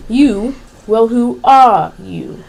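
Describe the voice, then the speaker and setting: A woman's voice: a little high, lively intonation, very slow, vocal fry, husky, heavily acted, poor audio. A female performer playing a languid, haughty male caterpillar in an amateur radio play, terse and dismissive. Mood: languid, curt.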